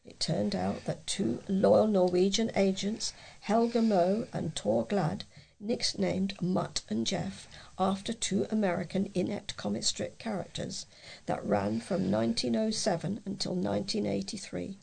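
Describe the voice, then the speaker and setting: A woman reads local news aloud in a measured way. Her voice is mid-pitched, heavily creaky and noticeably husky, as a volunteer reader presenting articles to listeners. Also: steady tone, a little mellow, poor audio.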